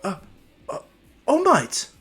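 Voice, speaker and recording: A man's voice: very high voice, animated, normal speed, faint music behind, pitched above the performer's own voice. A man voicing a sweet young mother in a fan story, polite, hesitant and anxiously fussing. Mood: startled, anxious.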